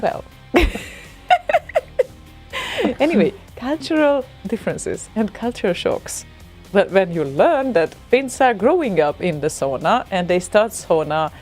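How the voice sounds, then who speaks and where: A woman from Hungary with a Hungarian accent, co-hosting a casual radio chat, animated and exclaiming as she talks. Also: fairly low pitch. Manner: animated, exclaiming, laughs often. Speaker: radio co-host.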